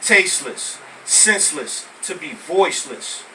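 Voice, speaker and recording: An American man from Brooklyn performing spoken word poetry live, with emphatic, deliberate phrasing. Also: fairly high pitch, lively intonation, bright, forceful tone. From Brooklyn, New York, United States. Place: live poetry venue with small audience. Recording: noisy, distant amateur recording of live venue.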